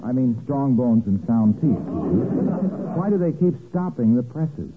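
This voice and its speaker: Heavy noise and a tinny band surround a man playing an eager American ad salesman. He is hearty and earnest, with a highish, animated voice in the manner of a 1940s comedy commercial. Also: a little slow, lightly acted. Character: cheerful, earnest radio ad salesman. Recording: tinny, very noisy old radio recording.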